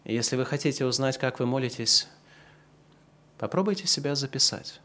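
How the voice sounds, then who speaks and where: Man preaching with slow, swelling emphasis. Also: mid-range voice. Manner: swings from slow, loud emphatic preaching to quieter conversational asides. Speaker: pastor. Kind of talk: sermon.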